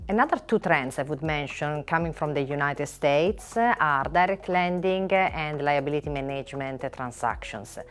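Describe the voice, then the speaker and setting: A middle-aged woman from Italy with an Italian accent, a finance lawyer answering in a measured way, listing items with frequent pauses. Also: low-pitched, lively intonation, soft background music. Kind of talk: panel interview answer.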